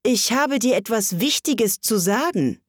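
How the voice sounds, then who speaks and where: Storybook caterpillar, voiced by a woman: languid, terse, scornful, slow delivery, slightly husky. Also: a little high, animated, no room sound, clean studio sound, voice pushed up. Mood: curt, commanding. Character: curt, scornful, sluggish hookah-smoking caterpillar.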